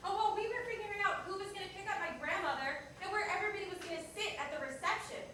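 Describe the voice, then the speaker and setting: A bitter, jilted diner manager, played by a woman in a stage play, speaks in a high voice with curt sarcasm and accusation. She is heard from far off in a very echoey room. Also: rapid-fire, pushed and bright, slight background noise. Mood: angry, indignant. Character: bitter jilted woman coffee-shop manager in 1976 New York. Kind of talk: staged reader's theater dialogue. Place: indoor performance room, distant microphone.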